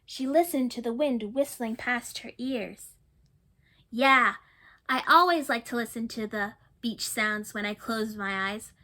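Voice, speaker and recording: A woman's voice: a little high, measured pace. A woman reading a picture book aloud to children, stopping to chat and ask listeners questions, and often repeating herself. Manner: chatty, warm asides to young listeners, often repeating herself.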